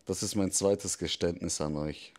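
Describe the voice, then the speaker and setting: A man voicing a calm, cynical male devil who confides in a measured, softly menacing way, as a scripted dramatic monologue. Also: low voice, close to an everyday voice. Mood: hushed, brooding.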